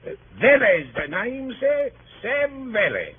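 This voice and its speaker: An actor playing a cheeky young inn bootboy who talks like an obliging servant, in 1930s radio style. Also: male voice, very high-pitched, very animated, slow pace, full character voice. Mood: brisk, obliging. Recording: scratchy phone-like 1930s radio recording.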